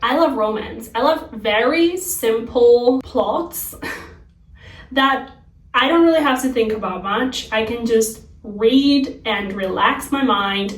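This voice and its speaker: A young adult woman from Slovakia with a Slovak accent, a native Slovak speaker, chatting casually to viewers as a lifestyle vlogger in an open, earnest way. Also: on the high side. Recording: roomy, distant microphone.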